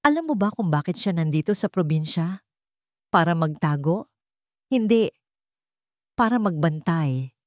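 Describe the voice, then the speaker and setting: A woman playing a scheming young man in a radio drama, speaking gently with feigned concern and a taunting edge. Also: fairly low pitch, expressive, unhurried, long pauses, dry recording, clean studio sound. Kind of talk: Tagalog radio drama storytelling.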